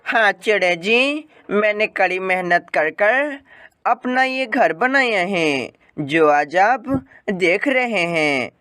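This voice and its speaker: A man voicing a gentle, polite, hard-working female bird in a cartoon fable. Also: sits high, lively intonation, slow, no echo, studio quality, exaggerated voice.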